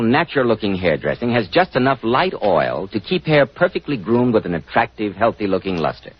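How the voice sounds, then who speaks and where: A male announcer from 1940s radio with a lively, swooping delivery and a slightly rough voice. He sounds polished and formal, pitching emphatically over a dull vintage recording. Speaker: announcer and host.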